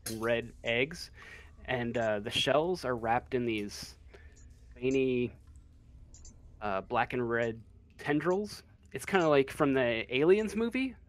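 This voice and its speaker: Male game master, unhurried and hesitant, mid-pitched, improvising tabletop narration. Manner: hesitant, halting.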